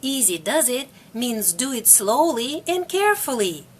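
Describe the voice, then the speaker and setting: A middle-aged woman teaches English to learners. Her voice sits a little high and rises and falls a great deal. She speaks slowly and repeats each phrase clearly. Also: sing-song, a little hiss or hum. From Russia. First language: Russian. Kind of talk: slow, careful video lesson.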